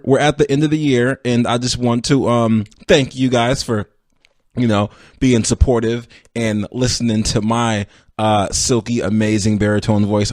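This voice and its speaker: A man's voice: lowish voice, dry recording, clean studio sound. A young man hosting a casual solo sports podcast, rambling and self-deprecating as he talks straight to his listeners. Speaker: podcast host.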